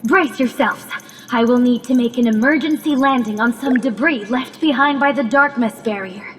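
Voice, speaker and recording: A woman playing a calm, analytical robot AI companion in a video game, speaking in formal, precise, measured phrases with earnest encouragement. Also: on the high side, in a large hall, a little hiss or hum, close to an everyday voice. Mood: grave, informative. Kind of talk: scripted video game cutscene acting.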